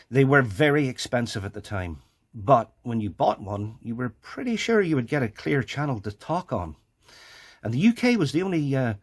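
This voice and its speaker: Man casually talking through a hands-on demo. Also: lowish voice. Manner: halting, thinking aloud as they go. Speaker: presenter of a radio hobby video. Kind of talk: casual hands-on demonstration and explanation.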